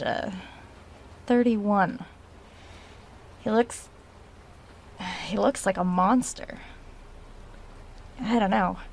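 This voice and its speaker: Actress as uneasy woman diarist, faint creaky voice. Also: mid-range voice, a little hiss or hum. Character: uneasy woman researcher recording an audio diary in a haunted house. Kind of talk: horror audio drama, diary-style narration and reading aloud.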